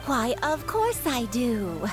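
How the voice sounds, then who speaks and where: A woman voicing a forgetful, doting elderly grandmother in a fantasy game, gentle and dreamy. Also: fairly high pitch, sing-song. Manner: slow, dreamy, tender. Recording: game audio with clear background music.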